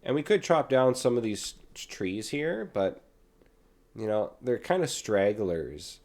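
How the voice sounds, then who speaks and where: Man, young adult, low voice, raspy from a cold, mellow, relaxed gameplay chat. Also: a little slow. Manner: relaxed, chatty, talks while playing. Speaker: gaming channel host. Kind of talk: casual gameplay commentary.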